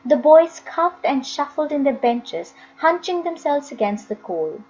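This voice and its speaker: A woman's voice: sits high, sing-song, measured pace, darkish tone, muffled recording. A woman narrating a children's novel expressively, as an audiobook reader.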